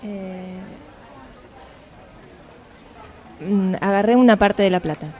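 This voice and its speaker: A woman's voice: mid-range voice, moderate pace, darkish tone, a faint crowd, close to an everyday voice. A woman with an Argentine accent acting a flighty, evasive, vain friend in an amateur radio play, with a hesitant, self-absorbed manner. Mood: sheepish. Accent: Argentine Rioplatense. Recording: clear background ambience noise.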